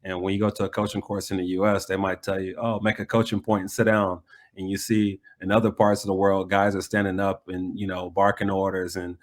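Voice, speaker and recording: An American man talking reflectively in a conversational interview, often pausing mid-thought to restate himself. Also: low voice, level tone. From United States. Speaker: soccer coach being interviewed.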